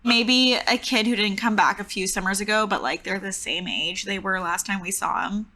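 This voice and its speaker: Woman, bright tone, casual improvised storytelling, tabletop game player. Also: mid-range voice, moderate pace.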